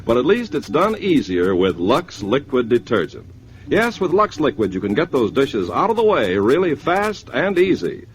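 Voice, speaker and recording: A man's voice: mid-range voice, sing-song, moderate pace, slight background noise. An American man announcing in a scripted 1950s radio style, as on a vintage sponsored broadcast. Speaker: commercial announcer.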